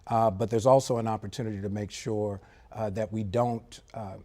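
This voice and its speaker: Measured, mellow-voiced male expert guest. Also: lowish voice, steady tone. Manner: measured, analytical, with frequent fillers. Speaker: former air traffic controller, studio guest. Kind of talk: live TV interview. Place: TV studio.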